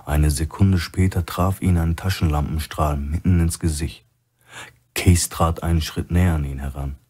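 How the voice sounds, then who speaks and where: A man's voice: extremely low pitch, steady tone, normal speed, darkish tone. A man reading a crime thriller audiobook as a scripted narration.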